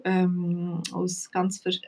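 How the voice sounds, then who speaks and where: A woman with a Swiss German accent, hosting a casual live-stream chat in a relaxed, hesitant way, with many fillers. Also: fairly low pitch, extremely slow, distant mic. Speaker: host and Swiss German teacher. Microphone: video call.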